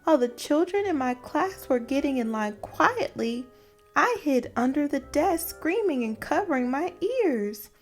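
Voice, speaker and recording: Anxious, earnest little zebra boy for a storybook, played by a woman. Higher-pitched, very animated delivery, music underneath. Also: unhurried. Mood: scared. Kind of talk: storybook character voice. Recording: music behind the voice.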